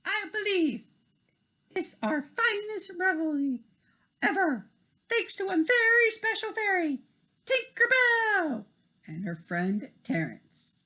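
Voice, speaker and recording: A woman's voice: extremely high pitch, very animated, slow pace, sharp tone, voice pushed up, heavily acted, rough recording. A woman voicing a gracious fairy queen in a children's storybook, stately and proclaiming. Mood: proud. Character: gracious, regal fairy queen addressing her subjects. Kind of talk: children's storybook character reading.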